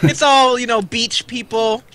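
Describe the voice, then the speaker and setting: A woman's voice: fairly high pitch, fairly even intonation, measured pace, crowd noise. A woman co-hosting a live show with casual, playful chat.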